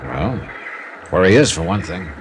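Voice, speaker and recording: A man's voice: low-pitched, lively intonation, moderate pace, creaky, noisy background. An American man playing a dogged, wry, world-weary insurance investigator in 1950s radio detective style, clipped and hard-boiled. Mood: suspicious, frustrated. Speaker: lead actor and first-person narrator. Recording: old radio drama recording, music behind.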